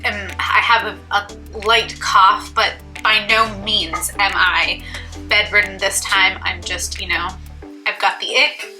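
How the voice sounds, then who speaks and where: A woman's voice: mid-range voice, fairly even intonation, takes it slowly, bright, forceful tone, faint music behind. A young woman vlogging about beauty products in a casual, rambling, self-deprecating way, sounding stuffy and congested. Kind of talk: casual chat to camera.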